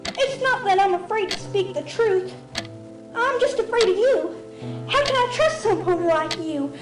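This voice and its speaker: A woman's voice: extremely high pitch, expressive, measured pace. A woman playing a troubled, guilt-ridden young pony filly in an audio drama, tearful and distrustful. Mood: afraid, distrustful. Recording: roomy voice over background music.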